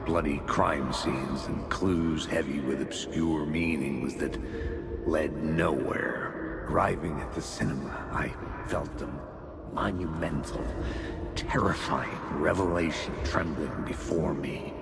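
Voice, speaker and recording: A world-weary hardboiled detective in a noir game. His extremely low, gravelly voice broods slowly and deliberately over loud music. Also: fairly even intonation, heavily acted. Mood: brooding, grim. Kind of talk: noir scripted narration in a video game.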